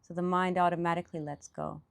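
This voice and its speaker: A woman leading a guided meditation as a teacher, speaking off the cuff in reflective, halting phrases with pauses. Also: on the low side, flat delivery, vocal fry.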